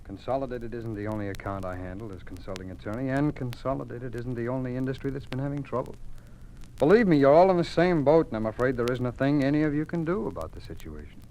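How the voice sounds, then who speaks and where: An actor playing a resigned, pragmatic attorney with an American accent, explaining emphatically in 1950s radio drama style. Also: male voice, medium pitch, expressive, quick pace, warm tone, lightly acted. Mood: concerned. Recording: faintly noisy 1950s radio recording.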